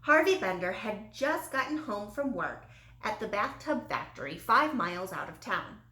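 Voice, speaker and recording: A woman reading a children's storybook aloud in an expressive, animated way with dramatic emphasis. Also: mid-range voice, moderate pace. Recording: roomy, echoey sound.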